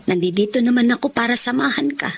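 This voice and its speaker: A woman's voice: on the high side, fairly quick, gravelly, breathy edge. A woman playing a caring, worried middle-aged mother in a radio drama, tender and coaxing, with a Filipino accent. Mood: comforting.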